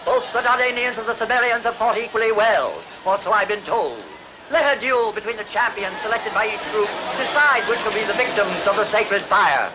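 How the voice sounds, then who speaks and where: An actor playing a proud ancient monarch in a dubbed epic film, formal and authoritative. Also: male voice, high-pitched, normal speed, noisy background, close to an everyday voice. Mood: commanding.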